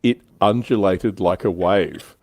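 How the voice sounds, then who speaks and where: A man's voice: sits low, slow pace, darkish tone, no echo. A man hosting a casual hobby livestream, telling stories in an explanatory way with some swearing. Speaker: livestream host.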